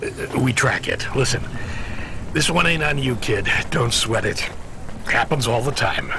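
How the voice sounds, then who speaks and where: Lively gravelly dispatcher on noisy call. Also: male voice, mid-range voice, unhurried. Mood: concerned. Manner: colloquial, streetwise, laid-back. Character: gruff, fatherly middle-aged male delivery dispatcher. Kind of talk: video game voice acting. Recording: noisy radio call with vehicle ambience.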